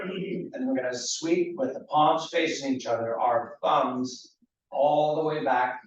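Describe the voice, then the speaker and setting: Man, fitness instructor, slightly hoarse, calm step-by-step cueing, very echoey room, muffled sound. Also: mid-range voice. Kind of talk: guiding a mat mobility class. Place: fitness studio. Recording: roomy, distant, dull studio sound.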